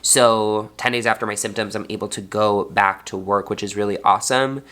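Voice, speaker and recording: A man's voice: lowish voice, steady tone, normal speed, bright, forceful tone. A young man, a fourth grade teacher, talking earnestly in a personal vlog, sounding congested. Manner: earnest, heartfelt.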